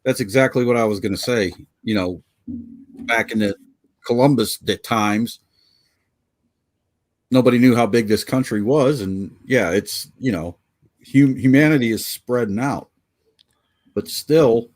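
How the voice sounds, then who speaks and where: A man's voice: medium pitch, unhurried, long pauses, darkish tone. A man from Pennsylvania co-hosting a casual podcast chat, rambling and joking as he fumbles through his notes on a video call. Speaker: podcast co-host.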